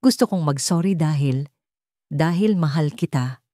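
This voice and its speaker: A woman voicing a remorseful young man who pleads his love earnestly and haltingly in a romance story. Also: low voice, lively intonation, mellow, dry recording, studio quality.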